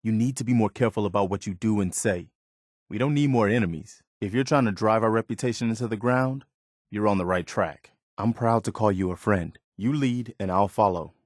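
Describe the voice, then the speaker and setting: A weary but principled militia soldier from a post-apocalyptic video game, played by a male actor. His voice is on the low side and recorded dry and close. He talks sincerely and plainly, like a loyal companion on the road. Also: a little fast, dry recording, close to an everyday voice. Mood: disappointed, stern, then sincere, supportive. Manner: sincere, plain-spoken, conversational.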